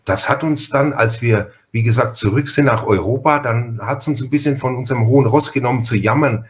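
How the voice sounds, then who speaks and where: Male podcast host, mid-range pitch, talkative and personal conversational interviewer. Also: brisk. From Franconia, Germany.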